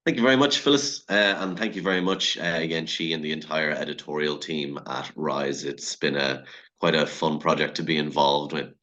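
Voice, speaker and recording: Very deep, bright-voiced man lecturing. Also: fairly even intonation, moderate pace. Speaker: academic lecturer. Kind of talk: academic conference talk.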